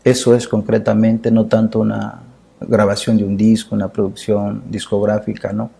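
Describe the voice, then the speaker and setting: A man in his fifties with a low, gravelly voice, a little husky and dark in tone. He answers questions in measured, earnest phrases, as a guest in a dark indoor studio. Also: completely flat delivery. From Mexico. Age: 50s. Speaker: guest, poet and chronicler. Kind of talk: reflective interview talk.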